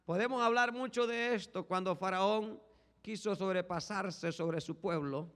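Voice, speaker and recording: A male pastor preaching a fervent sermon, swinging from hushed tones to shouting and repeating "brothers" as a refrain. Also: high-pitched, a little slow. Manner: repeats "hermanos" as a constant refrain. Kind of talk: Spanish-language sermon. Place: church sanctuary. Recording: long echo of a large hall.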